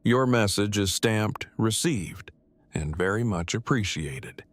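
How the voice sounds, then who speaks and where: A man's voice: on the low side, lively intonation, slow pace. A man playing a wary, fearful character in an audio drama, speaking in stiff, wordy, overly formal phrases. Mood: stiff, hesitant.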